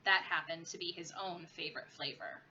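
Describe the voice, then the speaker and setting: A woman's voice: lowish voice, fairly even intonation, normal speed, pushed and bright. A woman, a teacher, reading a children's story aloud expressively. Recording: muffled lo-fi with clear background noise.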